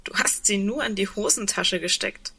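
A woman's voice: mid-range voice, normal speed, sharp tone, breathy edge. A woman acting in a German radio play as an earnest, insistent woman who clings to hope, speaking intimately and pressing with questions. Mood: impatient, challenging.

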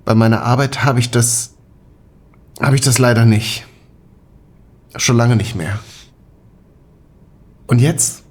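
Casting: young Soviet man, husky, reflective and shy, intimate radio drama dialogue. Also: medium pitch, takes it slowly, long pauses. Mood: pensive, tender.

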